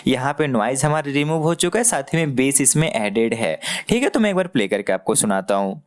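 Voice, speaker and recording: A man with an Indian accent hosting a casual step-by-step software tutorial, explaining directly to the listener and checking they follow. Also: mid-range voice, lively intonation, brisk. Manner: explanatory, addresses the listener, often checks understanding. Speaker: tutorial host.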